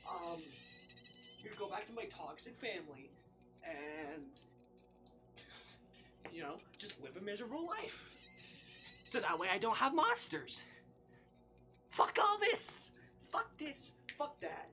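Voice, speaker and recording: A game streamer with a bright, mid-pitched voice of ambiguous gender reads a visual novel aloud and comments on it. The delivery is dramatic and sweary, with very lively intonation. Music plays faintly behind the voice. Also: slow, pauses often. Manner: expressive, sweary, dramatic reactions. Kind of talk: livestream gameplay commentary mixed with read-aloud narration.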